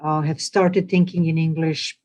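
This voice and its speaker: Woman, lowish voice, lots of ums, thinking out loud. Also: little pitch movement, normal speed. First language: Serbian. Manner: thoughtful, hesitant, many ums. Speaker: retreat participant. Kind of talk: casual discussion.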